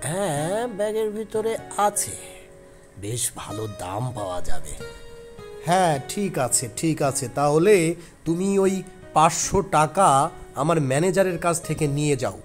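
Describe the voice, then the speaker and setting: A man's voice: a little high, sing-song, moderate pace, soft background music. A man voicing a greedy, crooked, bullying village merchant in a cartoon folk tale, with a blustering, scolding manner. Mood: greedy, bargaining.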